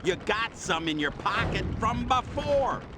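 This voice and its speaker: A man's voice: high-pitched, lively intonation, a little slow, background noise. A man voicing a peevish yet gung-ho superhero sidekick in a cartoon game, grumbling and melodramatic. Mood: exasperated. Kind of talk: cartoon video game acting.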